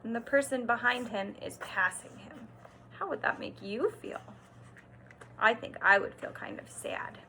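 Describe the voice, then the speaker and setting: Slow, bright-voiced woman reading to kids. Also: fairly high pitch, slight background noise, low-quality recording. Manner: expressive, emphatic storytelling with simple words and questions to the listener. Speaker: kindergarten teacher. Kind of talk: read-aloud lesson for young children, pausing to explain and ask questions.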